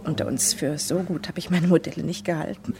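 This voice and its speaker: A woman's voice: deep voice, steady tone, brisk, darkish tone. An actress playing an independent-minded Englishwoman who confides her story in a 1960s or 70s German radio drama. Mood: confiding.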